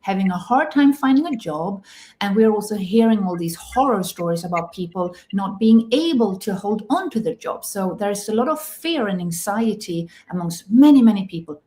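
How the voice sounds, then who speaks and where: Woman host with halting phrasing. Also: mid-range voice, lively intonation. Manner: warm, slightly halting, repeats words. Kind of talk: hosting a live online talk.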